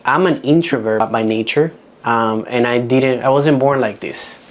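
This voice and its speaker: A man's voice: medium pitch, a little slow. Man with a Dominican accent teaching. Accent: Dominican Spanish. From Dominican Republic. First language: Spanish. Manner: frank, practical, with frequent restarts. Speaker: real estate agent giving a seminar. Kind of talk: seminar talk.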